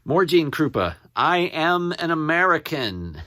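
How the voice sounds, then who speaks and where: A man chatting casually as he shows off his finds as a collector, rambling and halting, often repeating what he reads out. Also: fairly high pitch, big pitch swings, sharp tone, no echo. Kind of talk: casual show-and-tell to camera.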